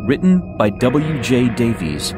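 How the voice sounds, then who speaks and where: A man's voice: medium pitch, very animated, slow, music in the background. A man reading dramatic fiction as a scripted audiobook narrator.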